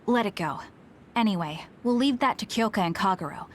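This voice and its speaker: A woman's voice: mid-range voice, animated, fairly quick, slight background noise, natural voice. A woman playing a serious, resolute young female soldier in an anime-style video game, direct and earnest. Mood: businesslike.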